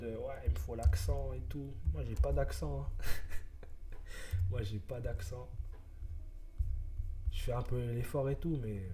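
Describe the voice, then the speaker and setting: A man's voice: medium pitch, dark tone. A young man chatting casually while he codes on a live stream, rambling and muttering to himself between thoughts. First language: French. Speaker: streamer, web developer. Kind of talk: casual live-coding chat.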